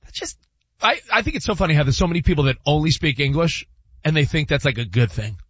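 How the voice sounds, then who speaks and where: A man's voice: on the high side, big pitch swings, fast talker, slightly rough, no room sound, lo-fi sound. A man co-hosting a sports radio show, chatting casually and rambling with many restarts.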